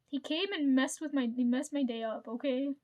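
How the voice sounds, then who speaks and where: A woman's voice: high-pitched, moderate pace, a little mellow, muffled recording. A woman vlogger telling a story to her viewers in casual chat, swearing freely and laughing often.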